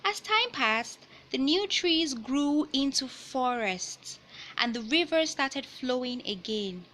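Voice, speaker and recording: A woman reading a children's picture book aloud in a deliberate, teacherly way, pausing to explain and repeat key points. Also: high-pitched, expressive, pushed and bright, poor audio. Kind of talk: read-aloud storytelling with explanatory asides.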